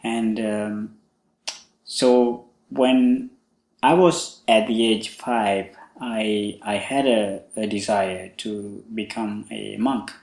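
A young man from Sri Lanka, a Buddhist monk hosting a podcast, talking slowly with many pauses and fillers about his life. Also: lowish voice, steady tone, gravelly, darkish tone, echoey room. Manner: slow, halting, many fillers. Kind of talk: casual spoken monologue.

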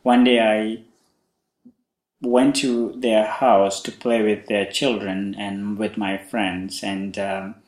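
A young man from Sri Lanka, a Buddhist monk hosting a podcast, talking slowly with many pauses and fillers about his life. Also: lowish voice, little pitch movement, darkish tone, roomy sound. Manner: slow, halting, many fillers. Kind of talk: casual spoken monologue.

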